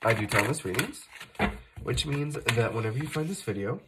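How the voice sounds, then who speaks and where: A man's voice: medium pitch, expressive, a little fast, heavy background noise. A man hosting a tarot reading, speaking casually while fighting off a cold, often correcting himself and using fillers. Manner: conversational, self-correcting, many fillers. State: fighting off a cold, occasional cough. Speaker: tarot reader, channel host.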